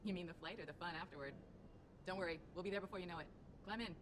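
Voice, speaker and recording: A woman's voice: fairly low pitch, rapid-fire, noisy background, natural voice. An actress playing a breezy, teasing, streetwise woman pilot in a video game, informal in manner.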